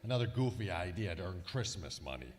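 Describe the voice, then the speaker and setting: A man's voice: low voice, big pitch swings, brisk. A man playing an exasperated, grumbling older husband, the dry straight man of an old-time radio comedy. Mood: dry, weary. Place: live performance in a museum hall. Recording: reverberant hall, live stage.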